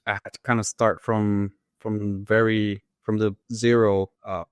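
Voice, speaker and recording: A man's voice: lowish voice, little pitch movement, unhurried, smooth, no room sound, clean studio sound. Earnest man. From Latin America. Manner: hesitant, many fillers, earnest. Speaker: guest, engineer. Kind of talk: interview answers.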